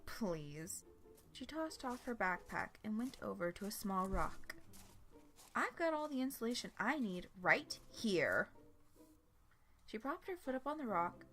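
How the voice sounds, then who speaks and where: A woman reading a scripted story aloud as its narrator. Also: medium pitch. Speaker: fan fiction narrator. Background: background music.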